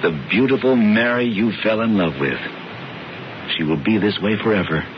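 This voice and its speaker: An actor playing a smooth, persuasive older brother in a radio drama, with theatrical, emphatic, deliberate phrasing. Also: male voice, fairly low pitch, natural voice. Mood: rapturous. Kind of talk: 1970s radio drama acting. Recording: dull, noisy old radio broadcast.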